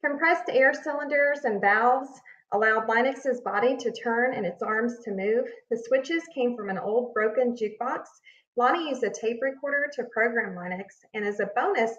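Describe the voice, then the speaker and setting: Woman, children's read-aloud, expressive storytelling, deliberate emphasis, echoey room, slightly muffled recording. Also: on the high side. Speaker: read-aloud narrator, teacher. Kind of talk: reading a children's picture book aloud.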